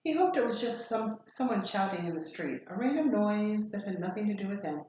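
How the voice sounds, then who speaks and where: A woman reading a children's story aloud as a teacher would, in an expressive, deliberate storytelling style. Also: medium pitch. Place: echoey room. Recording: very echoey room, faint noise.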